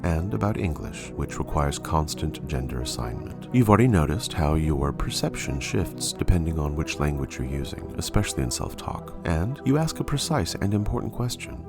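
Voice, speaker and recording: A man with a very deep voice narrates a scripted science podcast as its host. His reading is measured and explanatory, and his pitch rises and falls expressively. Music plays clearly underneath him. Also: sing-song. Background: music bed.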